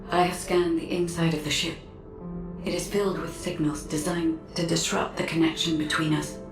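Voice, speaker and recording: A woman's voice: fairly low pitch, close to an everyday voice. Echoing, solemn, devoted guide voiced by a woman. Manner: grave, deliberate, devoted. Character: devoted, solemn female guide, a created being. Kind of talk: video game voice acting. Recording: echoing, distant, over prominent music.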